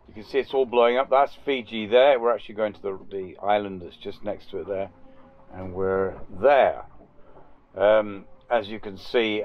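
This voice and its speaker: An older man with a lowish voice talks to the camera from the cockpit of a small sailboat. He rambles plainly, often restarting his sentences. The audio is muffled, and loud wind and sea noise sit behind him. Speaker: solo sailor vlogger. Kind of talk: casual vlog commentary.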